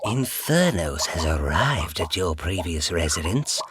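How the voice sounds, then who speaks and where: Obedient henchman for fantasy audio drama, slow and formal, with a very deep voice. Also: sing-song. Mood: deferential, grave. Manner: formal, deferential, deliberate. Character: obedient, sinister spy minion reporting to his master. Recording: noisy background bed.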